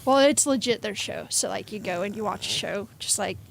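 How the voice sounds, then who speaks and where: A woman's voice: medium pitch, fairly quick, creaky, faint music behind. A woman chatting casually in a group conversation.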